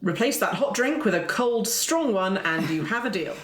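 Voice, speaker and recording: A woman's voice: medium pitch, lively intonation, moderate pace, roomy sound, lightly acted. A woman playing a well-mannered, flustered but self-assured Victorian time traveller in a tabletop game.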